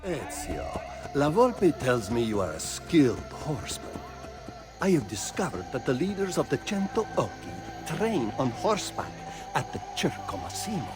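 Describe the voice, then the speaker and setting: Male actor as streetwise, brooding Italian rogue, very animated intonation, game score underneath. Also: mid-range voice, normal speed, music in the background, natural voice. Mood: conspiratorial. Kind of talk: dramatic video game cutscene acting.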